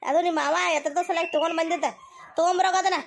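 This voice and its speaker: A woman's voice: very high-pitched, fairly even intonation, rapid-fire. A woman voicing a bossy, stubborn, greedy mother-in-law in a cartoon comedy, scolding insistently with a North Karnataka accent.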